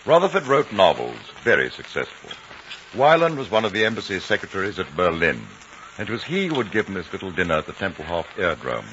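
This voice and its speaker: An actor playing an educated, reflective English man telling a story in a measured literary manner, in an old radio drama. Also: low voice, very animated, fairly bright tone. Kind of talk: 1950s radio drama narration. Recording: dull, noisy vintage radio recording.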